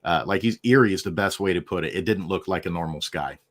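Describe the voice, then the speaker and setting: A man's voice: low-pitched, quick pace. A man co-hosting a podcast, reading a confident intro and then chatting casually. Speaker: podcast co-host.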